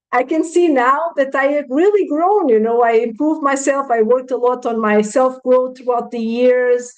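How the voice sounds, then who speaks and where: A woman's voice: high voice, low-quality recording. A woman in her thirties, speaking frankly and reflectively as an interview guest, with many "you know" fillers. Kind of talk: casual interview conversation.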